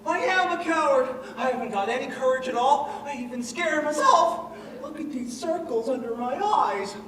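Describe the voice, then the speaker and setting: A man's voice: very high voice, animated, normal speed, a bit bright, far from the mic. An actor playing a big, timid male lion who boasts and then whimpers in self-pity, in 1930s film musical style. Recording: echoey old film soundtrack with music.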